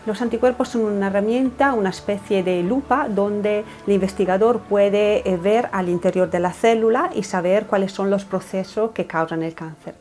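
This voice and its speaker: A woman's voice: medium pitch, normal speed, few pauses, darkish tone, faint music behind. A woman with an Italian accent explaining her lab work in an interview, hesitant and searching for words. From Italy. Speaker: head of a lab unit. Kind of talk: interview answers in Spanish.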